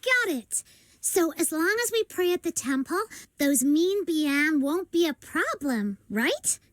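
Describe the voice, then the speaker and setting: A tiny, bossy girl sidekick from a video game, pipes up in an extremely high voice. She is brash and excitable, with lively swings in pitch and a dry, close sound. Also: slow pace, no echo, heavily acted. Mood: curious. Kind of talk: video game character acting.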